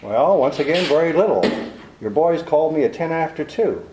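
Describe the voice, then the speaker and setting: A man's voice: a little high, expressive, moderate pace, darkish tone, a little hiss or hum, lightly acted. An actor playing a cynical, wisecracking male private detective in a 1940s radio drama, with a dry, sardonic manner. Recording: roomy 1940s radio broadcast.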